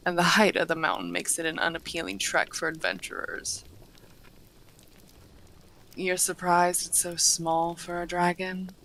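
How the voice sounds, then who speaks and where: A woman's voice: lowish voice, gravelly, fairly bright tone, slight background noise, low-quality recording. A woman playing a courteous, refined half-dragon hostess in a fantasy roleplay, speaking formally with dry teasing. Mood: explaining, proud. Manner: genteel, formal, unhurried wording with dry teasing. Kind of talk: fantasy roleplay audio acting, addressing the listener.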